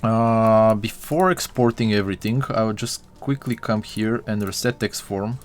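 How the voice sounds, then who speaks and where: A man's voice: lowish voice, normal speed, soft background music. A young man from Bulgaria chatting with his live-stream viewers while he models, full of fillers and restarts.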